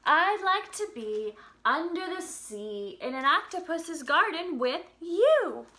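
A woman's voice: extremely high pitch, sing-song, slow, bright, forceful tone, slight background noise. A young woman teaching small children and reading a picture book aloud, expressively, with simple questions and exaggerated emphasis. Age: young adult. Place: home room with an upright piano.

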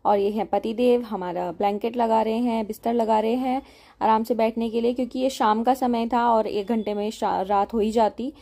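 A young woman narrating her travel vlog in a casual, chatty way, with filler pauses. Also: fairly high pitch, steady tone, dark tone. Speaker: travel vlogger.

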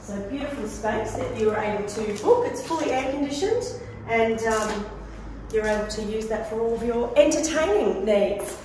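A woman's voice: fairly high pitch, animated, normal speed. A middle-aged Australian woman giving a casual, conversational and enthusiastic walk-through tour as a presenter. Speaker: presenter of a prize home tour. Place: walking through hard-surfaced apartment rooms. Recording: roomy echo, distant mic, background noise.

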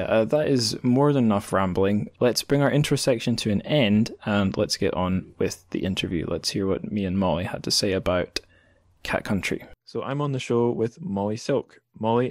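A man with a fairly low voice talks as a podcast host. His delivery is informal and rambling, with a self-deprecating streak. The sound is dry and close. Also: moderate pace, no room sound. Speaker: podcast host interviewing a guest. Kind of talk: casual, rambling podcast talk.

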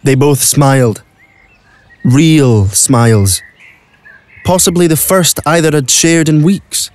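A man's voice: on the high side, slow, darkish tone. A man narrating a scripted audio drama as the storyteller.

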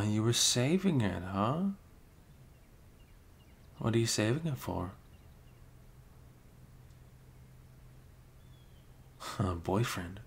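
Man acting as low-voiced, bashful male best friend. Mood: teasing, wistful. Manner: halting, bashful, chuckling, casual bro talk. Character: flustered, caring young male best friend hiding a crush. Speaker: ASMR roleplay performer. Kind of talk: improvised intimate ASMR roleplay.

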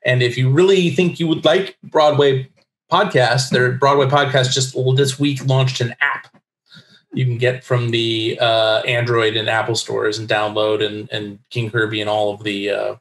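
A man's voice: medium pitch, little pitch movement, normal speed, fairly bright tone. A middle-aged man chatting casually as a guest in an interview. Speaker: guest writer. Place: book-lined room at home, indoors. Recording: roomy, echoey video call.

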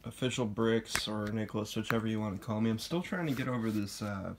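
A young man with a sore, slightly raspy throat, casually chatting through an unboxing with plenty of fillers, filmed on a phone indoors. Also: on the low side, quick pace. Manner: rambling, many fillers, off-hand. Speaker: Lego hobby vlogger. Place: indoors, room with wooden floor. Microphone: phone camera held over the floor. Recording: noticeable background noise, package crinkling.

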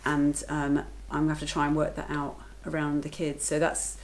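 A woman's voice: low voice, monotone, moderate pace, rough recording. A woman in her thirties talking casually to the camera in her home kitchen, matter-of-fact and chatty. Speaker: vlogger, lifeguard and working mother.

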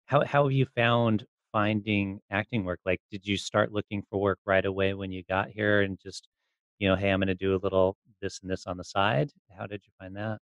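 A man's voice: lowish voice, flat delivery, fairly quick, dry recording, muffled recording. A man hosting a podcast, asking questions in a casual interview style.

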